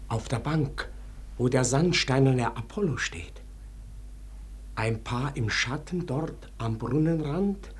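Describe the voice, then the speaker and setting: An actor playing a frightened, deferential manservant in a classic German radio verse drama, speaking hesitantly and anxiously, sometimes sinking toward a whisper. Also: male voice, mid-range voice, husky, exaggerated voice. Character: frightened, deferential manservant with a dread of uncanny intruders.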